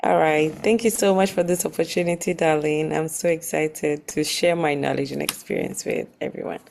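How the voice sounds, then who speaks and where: Low-voiced Ghanaian woman telling her story. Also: fairly even intonation, moderate pace, creaky, a little mellow. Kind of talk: casual personal storytelling in an interview.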